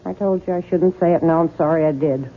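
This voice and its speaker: A woman's voice: low-pitched, fast. An actress playing a plain-spoken, nosy older American mother-in-law in a radio drama, chatty, blunt and insistent. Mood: suspicious, fussing. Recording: muffled, noisy 1970s radio broadcast.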